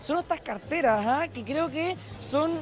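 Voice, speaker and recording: Chilean male TV reporter, high voice, quick persuasive patter, handheld mic, music behind. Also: animated. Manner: upbeat, persuasive, addresses viewers directly. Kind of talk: promotional TV fair walkthrough. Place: indoor craft fair hall. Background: background music.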